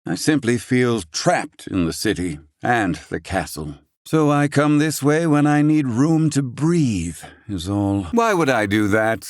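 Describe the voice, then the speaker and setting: A man's voice: mid-range voice, animated, measured pace, no echo, clean studio sound, natural voice. An actor playing a proud, sardonic old male scholar and healer in a video game, speaking in formal, archaic phrasing. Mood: wry, relaxed. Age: older. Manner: haughty, formal archaic diction.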